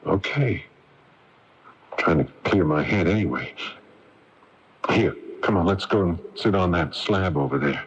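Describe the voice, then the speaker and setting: An actor playing a troubled, earnest son of an archaeologist in an early 1980s radio mystery, in a hesitant conversational manner. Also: male voice, low-pitched, expressive, a little slow, pauses often, breathy edge, exaggerated voice. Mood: subdued, reflective. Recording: muffled lo-fi 1981 AM radio, music behind.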